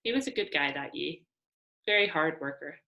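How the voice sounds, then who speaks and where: A blunt middle-aged motel boss from a children's novel, voiced by a woman reading aloud. He is gruff and dismissive, with no patience for nonsense. The voice is gravelly at the edges. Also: deep voice, animated, moderate pace, bright, forceful tone, reverberant, exaggerated voice. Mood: reminiscing, matter-of-fact.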